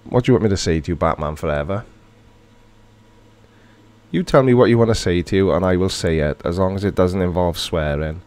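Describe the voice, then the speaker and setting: A man's voice: deep voice, brisk, pauses often, darkish tone. A middle-aged man from Wales with a Welsh accent, casually chatting and reading viewer comments aloud on a livestream. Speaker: livestream host.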